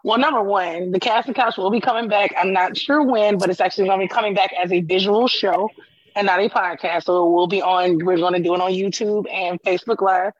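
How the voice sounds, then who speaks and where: Woman guest w a big loud voice, dry and muffled over the call. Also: medium pitch, fairly even intonation, brisk, no room sound. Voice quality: loud, carrying voice. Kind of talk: casual chat on a video call. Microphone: headphones with mic on a video call.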